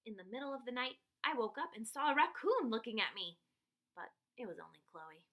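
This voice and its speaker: A woman reading a picture book aloud to children like a teacher, with expressive, dramatic emphasis on key phrases. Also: a little high, a little fast.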